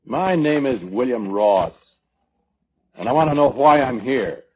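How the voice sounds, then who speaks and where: A man's voice: mid-range voice, animated, unhurried, breathy edge. A man playing a defensive, combative murder suspect in a 1940s radio drama, curt and slangy, with an American accent. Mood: indignant. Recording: muffled vintage radio recording.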